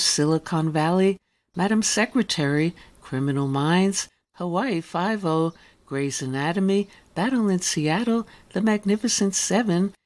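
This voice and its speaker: Radio host reading a scripted introduction. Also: medium pitch.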